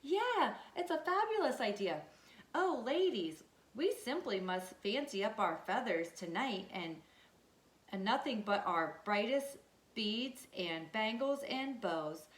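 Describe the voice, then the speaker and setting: A woman's voice: on the high side, big pitch swings, slow. A woman voicing vain, gossipy farm hens in a storybook, with a huffy, showy, emphatic manner. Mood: excited, showy. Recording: echoey room.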